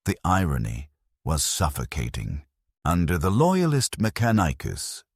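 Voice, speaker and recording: A man narrating a scripted, atmospheric story in a somber, grave, gravelly voice. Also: rumbling low, a little mellow, no room sound, clean studio sound.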